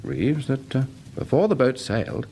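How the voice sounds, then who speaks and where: A British man acting as a sensible, brisk doctor in a radio drama, talking in an animated, somewhat patronising way. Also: mid-range voice, a little hiss or hum. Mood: grave, measured. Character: sensible, brisk English male doctor recounting and reassuring.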